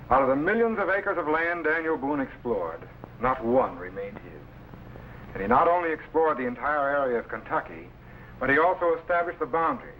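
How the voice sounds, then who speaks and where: A man's voice: medium pitch, lightly acted. An actor playing an authoritative, fatherly time-machine captain in an old-time adventure drama. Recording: dull old recording with faint noise.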